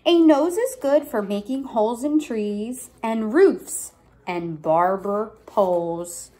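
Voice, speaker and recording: Slow, lively woman reading to children. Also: on the high side. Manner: deliberate, emphatic storybook phrasing, prompting with questions. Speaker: teacher reading aloud to a child. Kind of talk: picture-book read-aloud with questions to a young child. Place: indoor room at home.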